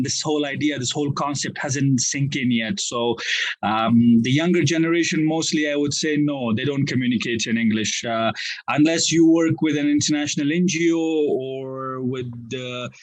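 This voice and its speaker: Afghan man interviewee, business manager, halting speech full of "uh"s, mid-range voice. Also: medium pitch, steady tone. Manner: hesitant, many fillers and restarts. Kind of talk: podcast interview.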